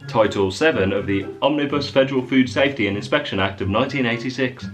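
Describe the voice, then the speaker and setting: A man's voice: mid-range voice, fast, soft background music. A man reading short stories aloud in a jokey dramatic way, often breaking into laughter mid-sentence. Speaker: vlogger.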